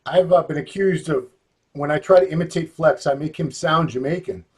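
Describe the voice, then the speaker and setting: A man's voice: fairly high pitch, a little fast. A man hosting an interview, chatty and playful, joking with his guest. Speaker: interview host. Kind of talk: casual interview chat.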